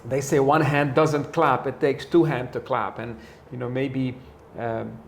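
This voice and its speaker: Man with a gravelly voice in an echoey room. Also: medium pitch, flat delivery, unhurried, slight background noise. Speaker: interview host and sales trainer. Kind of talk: conversational interview. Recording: echoey room, faint ambience.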